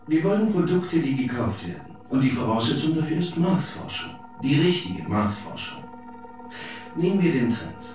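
An actor playing a persuasive veteran professor lecturing in a German radio drama, in a rhetorical, declamatory manner. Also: male voice, on the high side, very animated, normal speed. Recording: very echoey, distant, music behind.

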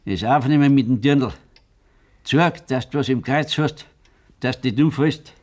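A man's voice: mid-range voice, unhurried, darkish tone, close to an everyday voice. An actor playing a stern, unforgiving rural man in a Bavarian dialect radio drama, curt and commanding.

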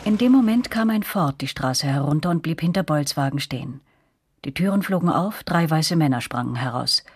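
An actress playing a first-person storyteller, narrating tense events in a German radio drama. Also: female voice, deep voice, expressive, brisk, slightly rough, full character voice. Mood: recounting. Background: music.

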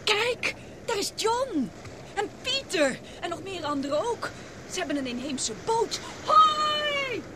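A woman's voice: extremely high pitch, very animated, slow, exaggerated voice. An actress playing an anxious, sensitive young woman fleeing an island, in an earnest, dramatic Dutch radio play style. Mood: excited. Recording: noisy old radio drama recording.

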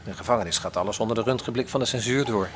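An actor playing a skeptical prisoner who asks dry, needling questions in a colloquial way in a radio play. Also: male voice, mid-range voice, rapid-fire, lightly acted. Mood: probing. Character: probing, skeptical male fellow prisoner, drily needling. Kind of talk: Dutch radio play acting. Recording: faintly noisy radio drama recording.

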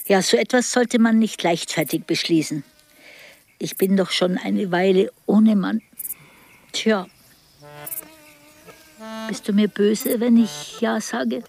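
An actress playing an older village widow, hesitant and sighing, in a radio drama. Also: female voice, mid-range voice, slow pace, vocal fry, pauses often, a little mellow. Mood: hesitant, guilty.

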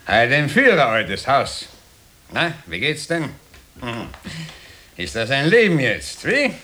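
An actor in a radio drama playing a smug, jovial Nazi functionary with an Austrian accent, backslapping and condescending. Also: male voice, medium pitch, big pitch swings, takes it slowly, bright, forceful tone, heavily acted. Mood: hearty, blustering. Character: smug, jovial Nazi party functionary, self-important careerist. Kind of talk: 1960 radio drama acting.

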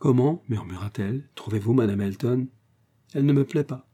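A man reading aloud, voicing a sharp-tongued young woman who talks in a teasing, quick manner. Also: mid-range voice, husky, lightly acted.

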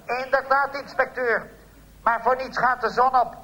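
A man playing a smug blackmailing villain, polite and calmly menacing, in a comic radio play. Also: very high-pitched, a little slow, pitched above the performer's own voice, heavily acted, low-quality recording.

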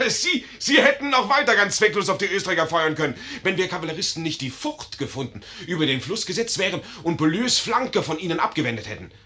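An actor in a 1950s radio play as a boastful, dim-witted young cavalry lieutenant with a pompous manner. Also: male voice, high voice, big pitch swings, a little fast, hardly any pauses, bright, forceful tone, exaggerated voice. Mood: proud, excited. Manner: pompous, self-important.

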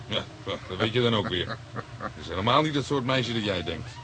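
An actor playing a young police detective in a radio play, an earnest, touchy and sceptical sidekick. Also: male voice, fairly low pitch, animated, brisk, pushed and bright. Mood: indignant. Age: young adult. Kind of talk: naturalistic radio play acting. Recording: radio play with clear background noise.

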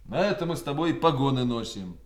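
A man's voice: medium pitch, expressive, fast talker, natural voice. A man playing a stern, commanding army major in a Soviet radio play. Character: stern commanding male army major, philosophical, weary of chatter.

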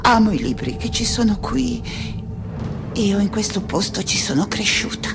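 An actress playing an anguished, defiant, aging woman scholar in a radio play, speaking in halting, impassioned repetitions. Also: mid-range voice, sing-song, creaky. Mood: anguished, foreboding. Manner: halting, repeating words, impassioned. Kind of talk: dramatic radio theatre monologue. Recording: music under the voice. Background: music.

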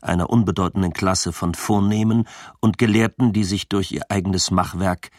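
An actor playing a bitter revolutionary agitator, declaiming a political pamphlet rhetorically in a musical audio drama. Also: male voice, sits low, steady tone, a little mellow. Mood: stern, accusing. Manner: deliberate, rhetorical, shifting from spoken declamation to drawn-out chant. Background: music with brass, trombone.

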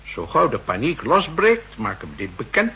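An actor playing a fussy, insistent central-bank expert who explains pedantically, in 1960s radio drama style. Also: male voice, fairly high pitch, huge pitch swings, normal speed, sharp tone, slightly rough, full character voice. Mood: urgent, emphatic. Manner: pedantic, emphatic, hesitating and repeating himself. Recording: noisy vintage 1960s radio recording.

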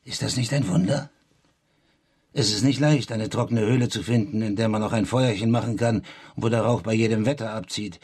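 Ein Schauspieler spricht in einem Hörspiel einen prahlerischen, lebenserfahrenen Buschräuber, derb, volkstümlich und überredend. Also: male voice, lowish voice, fairly quick, darkish tone, breathy edge. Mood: proud, instructive. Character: boastful, worldly old-hand bushranger mentoring a boy. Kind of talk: radio drama acting.

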